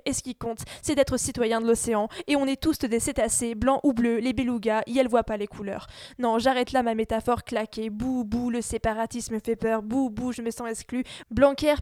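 Higher-voiced woman hosting radio, dry sound. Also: darkish tone, no echo. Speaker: radio show host. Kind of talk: scripted radio commentary and presenting.

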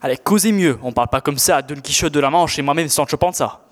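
A man's voice: on the high side, quick pace, long reverb tail, lightly acted. A teenage man playing a sensible, exasperated sidekick in an audio drama, speaking casually with slang. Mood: placating. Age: teens. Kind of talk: scripted audio fiction acting.

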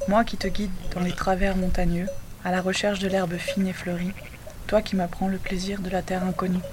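Woman, calm measured poetry reader, little pitch movement, noisy recording. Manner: calm, measured recitation with hushed moments. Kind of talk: reading a poetic text. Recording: noisy background.

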